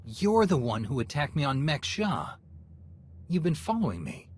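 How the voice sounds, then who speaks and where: A man's voice: on the high side, huge pitch swings, moderate pace, a little hiss or hum. A man voicing an earnest, resolute Jedi hero and commander in a video game, direct in manner. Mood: guarded, questioning. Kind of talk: scripted cutscene acting.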